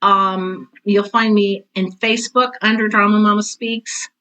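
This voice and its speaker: Older woman, medium pitch, casual interview guest, blunt, candid, self-deprecating. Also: flat delivery, a little slow, pushed and bright, rough recording. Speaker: podcast guest, author and drama teacher.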